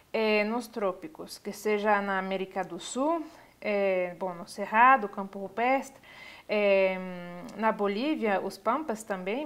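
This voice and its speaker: A woman, giving an online university lecture over slides, pausing often with fillers as she searches for words. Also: medium pitch. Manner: hesitant, many filler pauses. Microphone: webcam on a video call.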